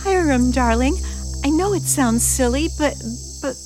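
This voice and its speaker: Casting: anxious romantic young wife for vintage radio suspense, high-pitched voice, breathless gushing and halting lines, faint music behind. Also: female voice, expressive. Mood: nervous, pleading. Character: naive, romantic, anxious newlywed bride. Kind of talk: old-time suspense radio drama acting.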